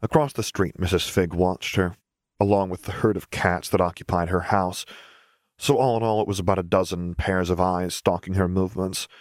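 A man's voice: low-pitched, steady tone, normal speed, dry recording, clean studio sound. A man reading a story aloud as scripted audiobook narration.

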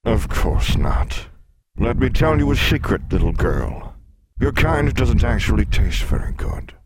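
Guy doing a big mocking sphinx, low booming sneer, noisy recording. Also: sing-song, unhurried. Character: mocking, menacing sphinx, huge lion-bodied creature. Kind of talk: audiobook character voice.

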